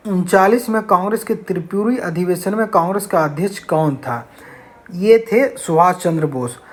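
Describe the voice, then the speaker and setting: A man teaching an exam-prep lesson, reading out questions and explaining answers in a didactic, repetitive way. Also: high voice. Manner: didactic, repeats key phrases.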